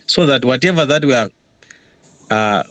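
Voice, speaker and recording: A man's voice: mid-range voice, expressive, moderate pace, fairly bright tone, dry recording. Dry-sounding man asking a question. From Malawi. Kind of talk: spoken question in online class.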